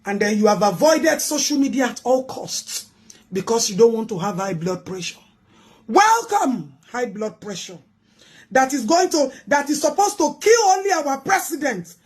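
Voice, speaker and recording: A woman with a Nigerian accent making an impassioned public address, with deliberate pauses and emphatic repetition. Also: a little high, sing-song, slightly rough.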